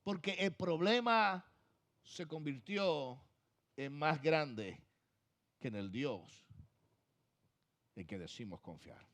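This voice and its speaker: A male pastor with a Puerto Rican accent delivers a sermon in a slightly high voice. He drives key phrases up loud, then lets the ends drop to a near hush. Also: lively intonation, slow pace, pauses often. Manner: emphatic preaching, swings from loud to soft trailing phrases.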